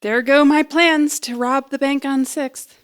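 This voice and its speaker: A woman's voice: sits high, fairly even intonation, unhurried. A woman playing a nervous, jokey young woman who rambles and puts herself down as she bluffs through an interview in an audio drama. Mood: joking, nervous. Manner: rambling, self-deprecating, flustered. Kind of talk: audio drama acting, conversational comedy.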